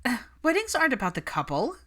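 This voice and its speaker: Woman putting on a snobbish, high-pitched condescending young woman. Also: huge pitch swings, fast, fairly bright tone, full character voice. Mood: smug. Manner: condescending, matter-of-fact, tactless. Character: snobbish, rude, opinionated young woman at brunch.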